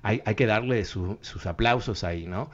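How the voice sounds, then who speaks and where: A man's voice: lowish voice, moderate pace. A man hosting a radio talk show, giving earnest commentary and thinking aloud with many hesitations and fillers. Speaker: radio host. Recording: muffled lo-fi radio broadcast.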